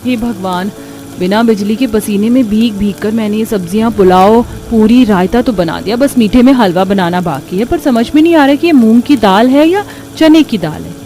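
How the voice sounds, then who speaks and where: A woman's voice: a little high, moderate pace, dark tone, a little hiss or hum, lightly acted. A woman voicing an anxious, plaintive young bride who exclaims in distress in a cartoon.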